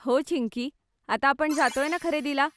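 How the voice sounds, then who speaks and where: A woman's voice: high-pitched, normal speed, fairly bright tone, faint music behind. A woman playing a patient, practical mother who instructs her children in a cartoon. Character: caring, practical mother of two young children.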